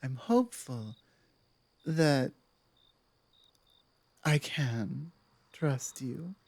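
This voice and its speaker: A woman playing a dominant but softening werewolf pack leader in an ASMR roleplay, speaking in a measured, earnest way with thoughtful pauses. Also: a bass voice, animated, vocal fry, no echo, heavily acted. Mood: hopeful, earnest. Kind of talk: ASMR roleplay, close one-on-one address.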